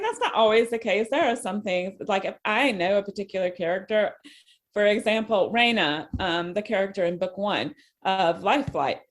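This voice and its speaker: Halting woman in casual conversation. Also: mid-range voice. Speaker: novelist guest on a podcast. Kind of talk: casual interview chat.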